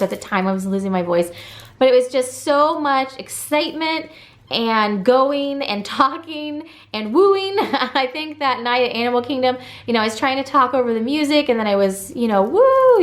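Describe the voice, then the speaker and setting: A woman in her thirties chatting enthusiastically in a casual vlog recap, full of fillers and asides. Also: on the high side. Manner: bubbly and enthusiastic, many fillers. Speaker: family travel vlogger.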